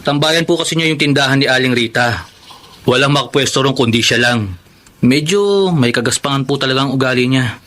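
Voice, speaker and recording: A man's voice: medium pitch, brisk, fairly bright tone, close to an everyday voice. A man playing a considerate, polite younger schoolteacher in a Filipino radio drama. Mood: concerned, apologetic. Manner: polite, helpful, respectful.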